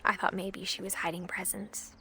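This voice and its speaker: A young woman with an American accent playing a pensive narrator in a video game, quietly recounting family secrets in a measured, reflective way. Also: medium pitch, little pitch movement, whispered.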